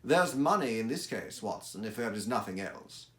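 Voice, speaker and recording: A man voicing a brisk, self-assured male detective who speaks crisply and emphatically, with dry confidence. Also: on the low side, big pitch swings, fairly bright tone. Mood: brisk, commanding. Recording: echoey room.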